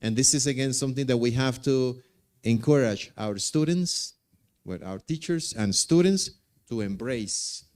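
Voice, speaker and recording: A man's voice: mid-range voice, unhurried, darkish tone. A man with a Colombian accent, a native Spanish speaker, replying to audience comments at a conference and repeating key words for emphasis. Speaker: conference presenter.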